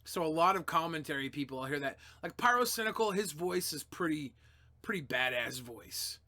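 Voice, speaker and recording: Young dude rambling on a livestream, kinda high voice, cussing a lot, mumbles then gets loud. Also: male voice, lively intonation, moderate pace. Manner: loose and profane, swings between mumbling and loud bursts. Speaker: livestream host answering chat. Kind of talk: casual livestream chat.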